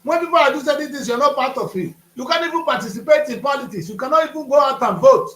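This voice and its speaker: Preachy fifty-something man, high-pitched. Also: lively intonation, fast, a bit bright, echoey room. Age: 50s. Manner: preachy, rhetorical questions, emphatic. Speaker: talk show host. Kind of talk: opinionated studio talk. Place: studio.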